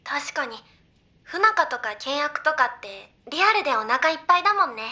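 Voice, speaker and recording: Bright, high-voiced young idol girl. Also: sing-song, moderate pace, heavily acted. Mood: earnest, persuasive. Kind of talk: Japanese anime audio drama, naturalistic banter.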